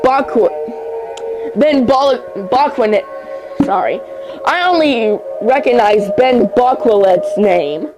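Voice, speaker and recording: Slow, stumbling amateur horror story narrator. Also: medium pitch, low-quality recording. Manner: halting, stumbles over words and repeats phrases. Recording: music under the voice. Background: music.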